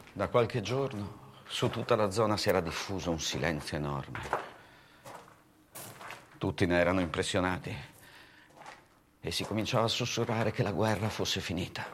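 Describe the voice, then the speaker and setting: A man giving an expressive literary reading of a memoir, like a storyteller. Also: deep voice, a little hiss or hum. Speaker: reader of a written memoir. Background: music.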